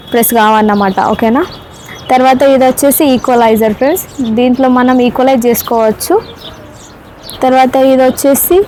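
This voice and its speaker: A woman with a Telangana Telugu accent speaks in a fairly high voice. She gives a casual, explanatory gadget demo, often checking that viewers follow. Faint noise sits behind her. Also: a little mellow. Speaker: product reviewer. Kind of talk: casual hands-on gadget demonstration.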